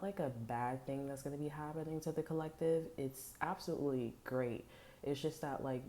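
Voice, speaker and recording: A woman reads tarot for her viewers from home, her voice very low-pitched and dark. She rambles in a hushed tone, full of fillers and restarts, through a headset mic. A faint noise sits under the recording. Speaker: tarot reader. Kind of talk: casual spiritual talk to viewers. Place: home at night. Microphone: headphones with mic.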